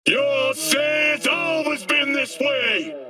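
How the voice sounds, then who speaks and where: An actor playing a fiery dystopian street preacher in a video game, ranting in an impassioned, declamatory way. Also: male voice, very high-pitched, slow pace, sharp tone, distant mic, soft background music, exaggerated voice. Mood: angry, urgent. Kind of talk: dramatic video game monologue.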